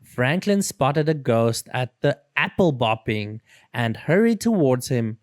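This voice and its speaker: A storyteller reading a children's book aloud in an expressive, dramatic and deliberate way. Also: mid-range voice, no room sound, studio quality. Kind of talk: expressive read-aloud narration.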